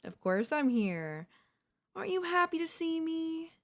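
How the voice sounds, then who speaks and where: A woman playing a teasing, possessive young woman in an ASMR roleplay, with a smug, coy, drawn-out delivery. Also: extremely high pitch, big pitch swings, a bit bright, pitched above the performer's own voice, exaggerated voice. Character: teasing, possessive young woman, former childhood bully next door.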